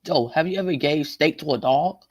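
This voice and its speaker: A man's voice: a little high, fairly quick, lightly acted. A teenage boy acting a fawning, dim-witted gangster henchman in a student radio play. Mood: dim, eager. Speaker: student actor. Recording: noisy, clicking stage recording.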